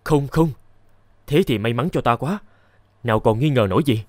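An actor playing a naive, smitten young scholar in an animated fairy tale, earnest and theatrical. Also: male voice, medium pitch, moderate pace, dry recording, clean studio sound, lightly acted. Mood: lovestruck.

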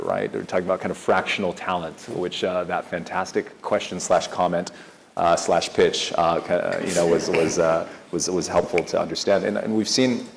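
Deep gravelly man on a panel stage. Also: slight background noise. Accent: Californian-sounding American. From Ghana. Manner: fast, enthusiastic, many restarts. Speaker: local fund manager on a panel. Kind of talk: conference panel answer. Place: large conference hall stage. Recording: echoey hall with faint ambience.

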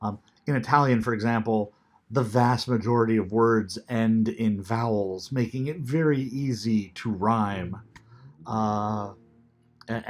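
Man, 50s, home webcam lecturer; low, unhurried, reflective delivery. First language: English. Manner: unhurried, thoughtful, many ums, reads poetry aloud slowly. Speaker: teacher. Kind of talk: recorded classroom lecture. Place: small room at home, seated in armchair.

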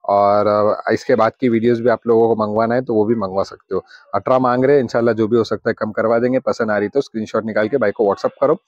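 A man hosting a casual walkthrough of goats at an outdoor pen, asking quick questions and repeating the answers for his viewers. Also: lowish voice, steady tone, a little mellow, dry recording. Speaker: goat trader vlogger. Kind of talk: casual on-site livestock walkthrough. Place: outdoor rooftop goat pen. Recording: muffled phone audio with noise.

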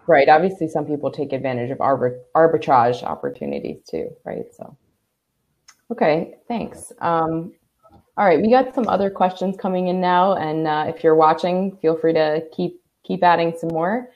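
Woman, panel moderator, low voice, casual, lo-fi video call sound. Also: normal speed. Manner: relaxed, casual, hesitant with ums.

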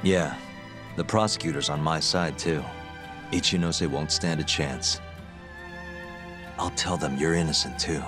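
A man playing a tough, determined detective in a video game cutscene, terse and steady. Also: rumbling low, measured pace, over music. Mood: resolute. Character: tough, determined male detective, calm and reassuring.

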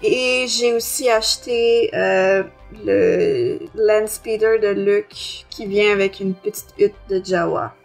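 Co-host: woman, average-pitched, informal talk over a faint music bed. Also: lively intonation, slow, hardly any pauses, poor audio. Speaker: podcast co-host. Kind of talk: casual chat.

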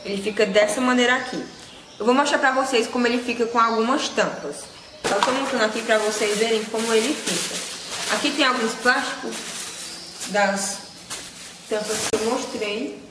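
A woman's voice: on the high side, moderate pace. A woman, casually presenting products to camera as a vlogger and seller. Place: small indoor room with shelves. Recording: echoey room with loud background noise.

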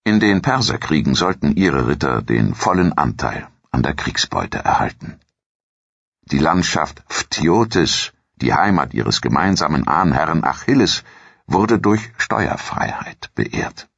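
Very deep, gravelly male narrator. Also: very animated, a bit bright, no echo, dull audio. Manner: measured, formal read delivery. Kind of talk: scripted documentary audiobook narration.

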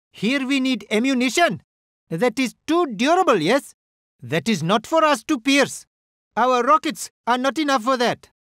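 A man's voice: very high voice, a bit bright, dry recording, clean studio sound, heavily acted. A man voicing a British Empire artillery soldier from India, giving stiff, oddly worded reports. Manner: formal, oddly phrased reports. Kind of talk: game unit voice lines.

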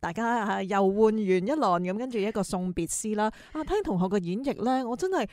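Female radio host, Hong Kong Cantonese accent, mid-pitched and chatty, casual studio talk, close dry mic. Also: animated, a little fast, a little mellow, dry recording. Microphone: close studio microphone on boom arm.

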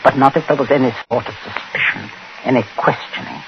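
A man acting in a 1940s radio drama as a coldly menacing patriarch who speaks deliberately, precisely and with command. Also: medium pitch, creaky, dull audio, close to an everyday voice. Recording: noisy old radio recording.

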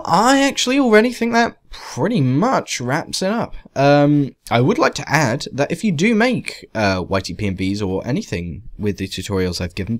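A man narrating a software tutorial casually, in a rambling, hesitant, self-deprecating way. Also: mid-range voice. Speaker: tutorial presenter. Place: indoors, screen-recording voice-over.

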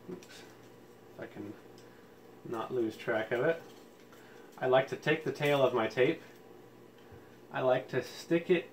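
A man hosting a casual how-to demonstration, explaining things informally, step by step. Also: mid-range voice. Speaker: tutorial host. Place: indoors, room with audio equipment. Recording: clear background room noise.

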